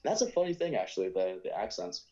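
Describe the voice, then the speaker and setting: A man's voice: deep voice, wildly swooping pitch, fast talker, warm tone, highs cut off. A young American man with a New York accent, a podcast guest chatting casually and rambling, with many fillers and restarts. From United States. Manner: rambling, hesitant, lots of fillers and self-corrections. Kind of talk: casual conversation.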